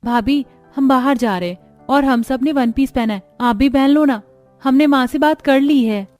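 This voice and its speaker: A woman's voice: sits high, normal speed, darkish tone, soft background music, voice pushed up, close to an everyday voice. A woman voicing a doting, eager young sister-in-law in a cartoon, caring and insistent. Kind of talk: Hindi cartoon story dialogue.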